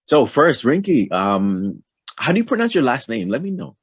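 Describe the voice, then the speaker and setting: A man hosting an interview show, asking relaxed, friendly questions with plenty of fillers. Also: mid-range voice, normal speed, husky, dry recording. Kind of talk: casual interview.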